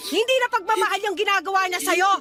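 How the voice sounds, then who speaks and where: A woman's voice: extremely high pitch, fast, sharp tone, soft background music. A woman playing a blunt, exasperated mother scolding her grown daughter in a radio drama. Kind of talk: Tagalog radio drama acting.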